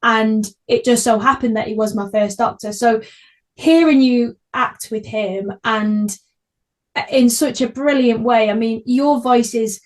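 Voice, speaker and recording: High-voiced young woman with a Manchester accent. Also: fairly even intonation, a little slow, darkish tone. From Manchester, England. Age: young adult. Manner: effusive, chatty. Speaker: podcast host. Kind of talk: casual interview chat.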